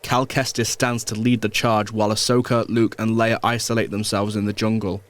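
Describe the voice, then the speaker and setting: A man's voice: fairly low pitch, little pitch movement, moderate pace. A man reading scripted action narration as the storyteller of an audio drama.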